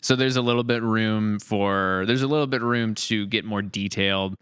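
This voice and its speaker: A man's voice: fairly low pitch, normal speed, a bit bright, clear, resonant tone, no room sound, studio quality. A man hosting a casual podcast interview, asking questions and responding in an encouraging, affirming way. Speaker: podcast host.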